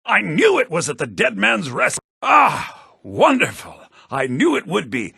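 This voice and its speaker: An actor playing a scholarly, theatrical headmaster of a bards' college in a fantasy video game, speaking in a formal, declamatory manner. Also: male voice, high voice, wildly swooping pitch, a little slow, creaky, bright, forceful tone, breathy edge, no room sound, clean studio sound, full character voice. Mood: delighted. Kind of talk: fantasy video game character dialogue, with verse recitation.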